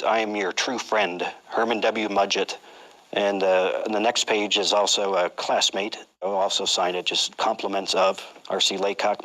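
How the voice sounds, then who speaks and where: A man with a bright, forward voice on the lower side speaks as a documentary interviewee. He explains historical details in an informal way. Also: poor audio.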